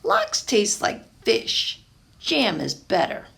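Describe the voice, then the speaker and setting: A woman reading a storybook and voicing an eager, expressive young boy from a family of bakers. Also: medium pitch, takes it slowly, vocal fry, heavily acted. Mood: fussy.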